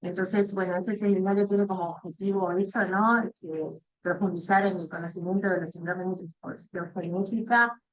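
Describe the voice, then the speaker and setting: Woman facilitator, lowish voice, hesitant fillers, muffled lo-fi sound. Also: fairly even intonation, moderate pace. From Uruguay. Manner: hesitant, frequent "este" fillers and repeats. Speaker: webinar facilitator, hematologist. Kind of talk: formal introduction.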